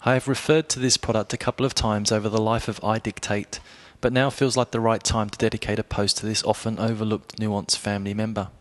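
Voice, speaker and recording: Man, lower-pitched voice, measured delivery, scripted product explainer, blogger presenter, direct address. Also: flat delivery. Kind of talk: scripted narration, explainer review.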